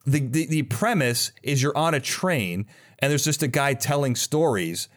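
A man's voice: medium pitch, lively intonation, a little slow. A man hosting a podcast, chatting casually and enthusiastically with rambling, conversational asides. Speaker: podcast host. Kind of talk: casual enthusiastic interview chat.

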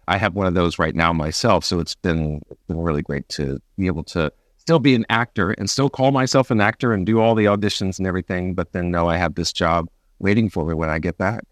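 A man's voice: low voice, fairly quick, few pauses, no echo, studio quality. A man hosting a casual interview as a fellow actor, supportive and agreeable in his replies.

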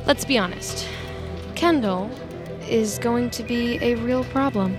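Calculating female office schemer, fairly high voice, commanding, theatrical, articulate, audio drama, music behind. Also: slow.

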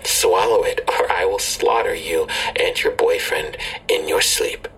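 An actor in an audio drama playing a menacing male tormentor who gives cold, terse commands in a husky, often whispery voice. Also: low-pitched, a little hiss or hum, rough recording. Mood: quietly threatening. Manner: slow, terse, calmly controlling. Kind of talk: full-cast audio drama acting.

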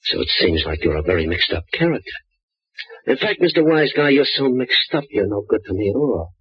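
A menacing small-time gunman in an old radio play, with a low, very raspy voice and tough street talk. The sound is dry and dull, like an old broadcast. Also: very animated, brisk, no room sound, exaggerated voice.

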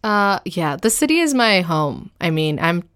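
Interview guest: woman from India, theatre director, low smooth voice, halting and self-deprecating. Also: big pitch swings, a bit bright. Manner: halting, self-deprecating, many fillers and laughs. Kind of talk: casual interview chat.

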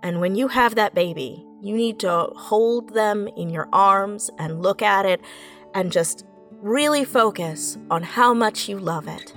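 A woman's voice: mid-range voice, unhurried, a bit bright. A woman speaking in an earnest, encouraging and emphatic way in a reflective panel conversation, often repeating phrases to press a point. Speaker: audio fiction writer on a panel. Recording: music playing behind the voice.

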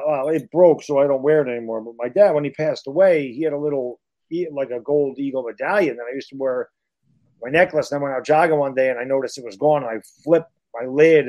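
A man's voice: medium pitch, quick pace, a little mellow, dull audio. A man co-hosting a sports podcast, talking bluntly and joking like a fan. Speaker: podcast co-host. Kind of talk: casual sports podcast chat.

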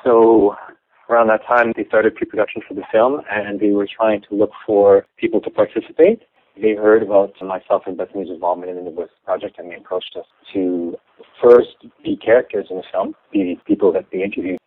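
Deep-voiced man on a tinny phone line. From Canada. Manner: measured, explanatory, with some restarts. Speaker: interview guest. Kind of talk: thoughtful interview answers.

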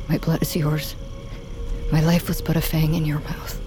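A woman's voice: low voice, level tone, moderate pace, lightly acted. An actress playing a commanding, urgent wounded huntress leading her pack in a fantasy audio drama. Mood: solemn prayer. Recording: dramatic music bed behind. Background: loud cinematic music.

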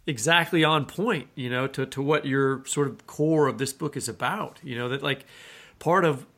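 A man co-hosting a literary podcast, chatting casually and haltingly as he searches for words. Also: medium pitch, a bit bright. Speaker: podcast co-host, interviewer.

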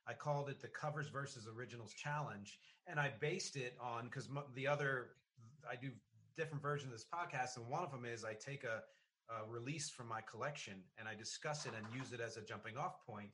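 Bright-voiced man hosting a podcast. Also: mid-range voice, a little fast, slight background noise. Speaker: podcast host. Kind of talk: casual interview chat.

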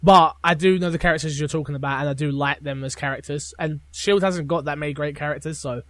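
A man's voice: fairly high pitch, fairly even intonation, quick pace, fairly bright tone, dry recording. A man co-hosting a podcast in casual banter, with a blunt, joking way of talking. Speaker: podcast co-host.

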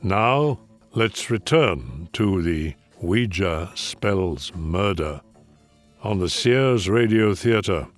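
A man hosting and narrating an old-time radio drama in a slow, measured, ominous manner, on a lo-fi 1970s radio recording. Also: deep voice, lively intonation, soft background music.

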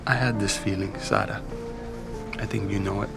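A reflective, wistful man confiding in a monologue. His voice is very low and creaky, measured and halting, over a music bed in an audio drama. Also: fairly even intonation. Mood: wistful, content.

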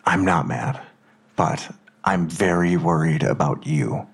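An actor playing a worried, protective father in an audio drama, husky and earnest, reassuring but insistent. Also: low voice, takes it slowly, exaggerated voice.

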